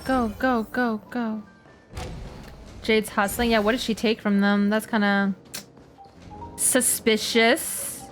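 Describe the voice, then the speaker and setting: A woman's voice: a little high, level tone, takes it slowly, long pauses, faint music behind. A young adult woman streaming a story game, giving casual, slangy reaction commentary with exclamations and repeated phrases.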